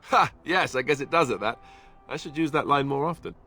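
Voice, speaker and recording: An actor playing an awkward, earnest young warrior in a fantasy video game, speaking in a hesitant, self-effacing, conversational way. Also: male voice, mid-range voice, expressive, fairly quick, sharp tone, soft background music. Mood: wry. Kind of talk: video game cutscene acting.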